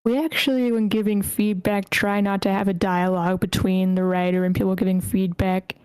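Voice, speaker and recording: A woman's voice: medium pitch, little pitch movement, a little fast, a little mellow, clear, resonant tone, no echo. A woman hosting an online group feedback session, speaking as a moderator to the participants. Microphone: online voice meeting.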